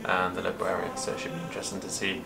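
A man's voice: deep voice, expressive, racing, gravelly, loud music behind the voice. A young man speaking casually to camera in a hotel hallway, with fillers. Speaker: travel vlogger.

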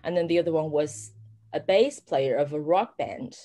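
A woman's voice: low voice, normal speed, dark tone. Chatty woman. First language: Chinese. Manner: rambling, many fillers and restarts, laughs often. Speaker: author guest. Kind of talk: casual conversation.

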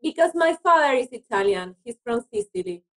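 A woman's voice: very high voice, big pitch swings, brisk, gravelly, low-quality recording. A woman from Argentina, a native Spanish speaker with an Argentine accent, chatting casually as an interview guest. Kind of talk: casual chat.

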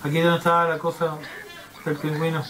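A man's voice: on the high side, monotone, fast talker, crowd noise. A man hosting a gaming video with casual, playful, joking commentary. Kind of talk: casual let's-play commentary.

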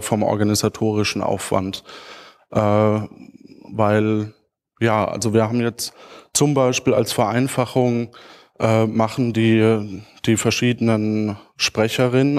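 A man in his thirties with a medium-pitched voice speaks informally as a presenter on an auditorium stage. He searches for his phrasing as he answers questions, holding a handheld microphone. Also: darkish tone. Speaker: conference speaker.

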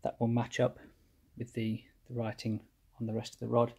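A man calmly explaining his engine rebuild step by step while he works at a cluttered workshop bench. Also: medium pitch, creaky, heavy background noise. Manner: methodical, plain explanatory, pauses mid-phrase. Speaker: home mechanic rebuilding an engine. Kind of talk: hands-on workshop walkthrough.

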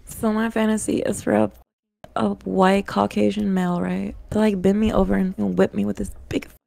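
A woman's voice: mid-range voice, little pitch movement, normal speed, gravelly, dark tone. A woman talking in slangy, crude, exaggeratedly gushing banter on a group voice call, flirting as bait. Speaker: decoy in an online predator sting.

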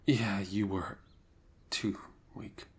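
A young man speaking softly and hesitantly in an improvised ASMR roleplay, often dropping to a whisper. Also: fairly low pitch, flat delivery, very slow, husky.

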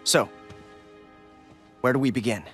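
An actor playing an eager, cheeky teenage boy adventurer in an animated game, talking in a casual, youthful way. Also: medium pitch, unhurried, soft background music, lightly acted. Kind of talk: animated game cutscene acting.

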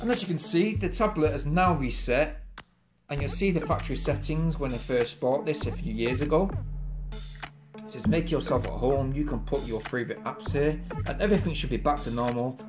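A man's voice: a little high, expressive, normal speed. A man with an English accent, narrating a casual step-by-step tech tutorial in an upbeat, chatty way. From England. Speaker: tech video host. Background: background music.

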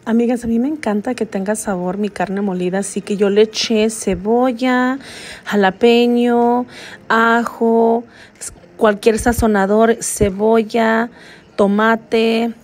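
Chatty higher-voiced woman. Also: steady tone, takes it slowly, a little mellow. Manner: chatty, addresses viewers as friends, switches between Spanish and English. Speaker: vlogger. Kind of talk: casual vlog chat to the camera. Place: home kitchen, then inside a car. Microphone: phone camera held at arm's length.

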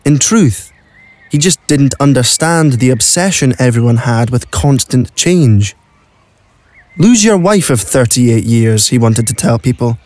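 A man's voice: mid-range voice, animated, a little slow, darkish tone, no room sound. A man narrating a scripted story for an audio play.

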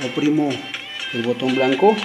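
A middle-aged man narrating a hands-on product tutorial, explaining deliberately step by step in short phrases. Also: mid-range voice, lively intonation, music in the background. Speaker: product demonstrator, host. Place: small indoor room at home.

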